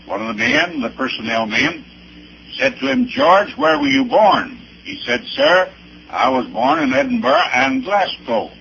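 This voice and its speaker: An elderly American man, a pastor giving personal testimony in a deliberate, halting, emphatic way with a creaky voice. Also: a little high, sharp tone. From Arkansas, United States. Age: 70s or older. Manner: deliberate, halting, with emphatic spelled-out dates and places. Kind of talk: personal testimony with anecdotes, preaching delivery. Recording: dull old recording with faint noise.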